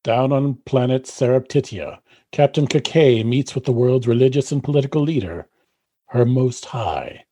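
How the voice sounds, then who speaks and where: Mellow man narrating radio comedy. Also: medium pitch, normal speed.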